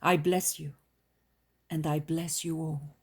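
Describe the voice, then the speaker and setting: A woman reading the lines of a calm, commanding male holy healer in a solemn storybook voice. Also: low voice, takes it slowly, breathy edge, heavily acted.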